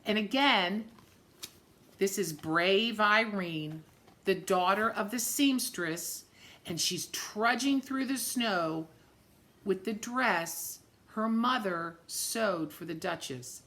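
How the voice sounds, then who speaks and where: Female storyteller for a kids' read-aloud: medium pitch, bright voice, expressive delivery, talks directly to the children. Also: slow. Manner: expressive, dramatic, addresses young listeners directly. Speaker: story-time reader for children.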